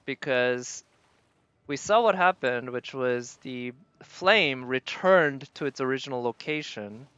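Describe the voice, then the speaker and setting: A man's voice: medium pitch, animated, takes it slowly, a bit bright, clean tone, dry recording, highs cut off. A man live streaming a video game, chatting casually with viewers and musing aloud as he plays. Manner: thinks aloud, tentative and musing.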